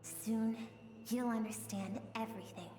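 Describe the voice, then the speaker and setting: A woman voicing a mysterious female spirit guide in a video game, speaking in a measured, explanatory way. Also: on the high side, fairly even intonation, natural voice. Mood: solemn, mysterious. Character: female spirit guide dwelling inside someone's inner world. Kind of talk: scripted game dialogue. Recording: long echoing reverb, music behind. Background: game music.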